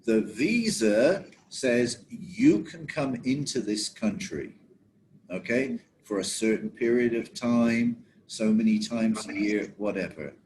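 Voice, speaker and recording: A man's voice: fairly low pitch, expressive, slow, a little mellow, a little hiss or hum, poor audio. A middle-aged man giving a training lecture to travel agents, talking conversationally and often checking in with his listeners.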